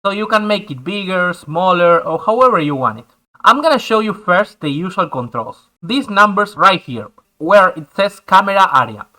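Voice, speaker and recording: A man's voice: high voice, expressive, moderate pace, pushed and bright, rough recording. A young man whose first language is Spanish, patiently explaining a game map editor step by step in English. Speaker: tutorial host. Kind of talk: screen-recorded game tutorial.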